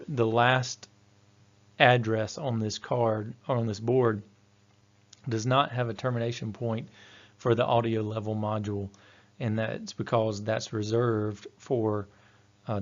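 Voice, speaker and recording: Guy doing a methodical training voiceover, flat delivery, kinda dull lo-fi audio. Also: lowish voice. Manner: methodical, explanatory. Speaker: technical trainer. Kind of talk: instructional presentation narration.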